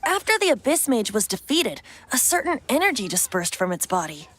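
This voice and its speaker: A woman's voice: a little high, very animated, a little fast, a bit bright, breathy edge, full character voice. A woman voicing a playful, theatrical young male bard in a video game, speaking eloquently like a storyteller. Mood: explaining, earnest. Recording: music under the voice.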